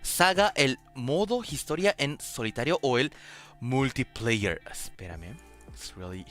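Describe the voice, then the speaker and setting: A man hosts a relaxed streamed radio chat as a native Japanese speaker. His voice is bright and swings in pitch while he pauses and searches for words. Music plays faintly underneath. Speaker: host of a streamed radio show. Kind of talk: casual chat, switching between English and Spanish.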